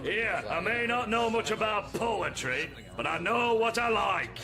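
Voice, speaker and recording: A man's voice: sits high, animated, a little slow, vocal fry, heavily acted. A man acting a matey, blustering salesman with a Northern English accent in a radio comedy, full of bluff, hesitant patter. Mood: chummy, pondering. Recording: music under the voice.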